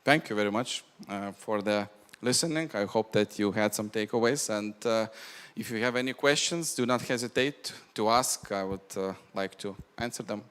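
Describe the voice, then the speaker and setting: A man giving a measured, explanatory conference talk and answering audience questions. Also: fairly low pitch, a bit bright, clean tone. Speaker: conference speaker on bank fraud prevention. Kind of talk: presentation and audience Q&A. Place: indoor event hall with long reverb. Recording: reverberant hall sound.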